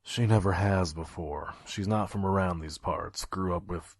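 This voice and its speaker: Man as guarded male pony peddler, deep register, husky, gruff, dry, weary, audio drama. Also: normal speed, dry recording. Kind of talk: audio drama narration and dialogue.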